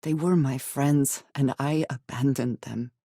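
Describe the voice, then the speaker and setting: An actress voicing a guarded, earnest female spacefarer in a video game, grave and loyal, with formal, measured phrasing. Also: very deep voice, fairly even intonation, mellow, breathy edge, no room sound, clean studio sound, exaggerated voice. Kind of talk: video game character voice lines.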